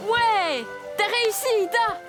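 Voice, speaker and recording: A woman playing a spirited, encouraging young jungle boy in a French cartoon dub, with an energetic, expressive delivery. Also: very high-pitched, a little slow. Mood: delighted. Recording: loud music under the voice.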